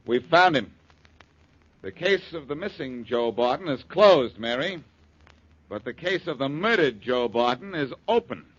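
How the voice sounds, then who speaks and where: Confident radio detective, muffled sound. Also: male voice, medium pitch, animated, a little slow, a bit bright. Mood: grim, urgent. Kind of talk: 1940s radio detective drama acting. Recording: muffled, noisy 1940s radio broadcast.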